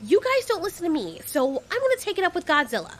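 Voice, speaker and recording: A woman's voice: very high voice, wildly swooping pitch, fast talker, fairly bright tone, pitched above the performer's own voice, full character voice. A woman voicing a proud, testy giant moth monster queen in an audio drama, demanding and exasperated. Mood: annoyed, exasperated.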